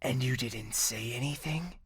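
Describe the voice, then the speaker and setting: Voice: mid-range voice, a little slow, lightly acted. An actor playing a stern royal ruler in a fantasy audio drama, commanding and curt, expecting obedience. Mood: incredulous, accusing. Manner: authoritative, curt, imperious.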